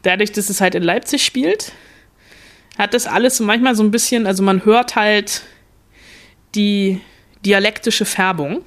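A woman's voice: medium pitch, measured pace, long pauses. Chatty woman reviewing a series. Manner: rambling with frequent fillers and restarts. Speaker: film critic podcast co-host. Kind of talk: casual chat, reviewing a series.

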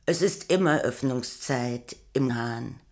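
A woman narrating a poetic radio play from a script in a measured, deliberate way. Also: rumbling low, exaggerated voice.

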